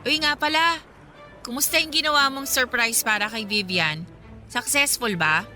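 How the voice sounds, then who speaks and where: Bright, chatty young Filipina played by an actress. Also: female voice, on the high side, normal speed, soft background music. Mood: playful, teasing. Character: young woman, chatty teasing best friend, secretly in love. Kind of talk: Filipino radio drama acting.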